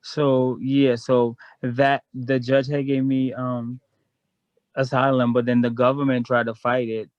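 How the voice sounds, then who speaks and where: Flat-voiced man telling a frank story. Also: mid-range voice, measured pace, no room sound, dull audio. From Guyana. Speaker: guest. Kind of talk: casual chat.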